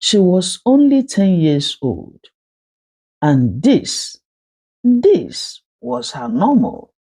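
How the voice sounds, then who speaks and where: A storyteller with a Nigerian accent narrating a folktale in an emphatic, theatrical manner with dramatic pauses. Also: mid-range voice, very animated, warm tone, husky.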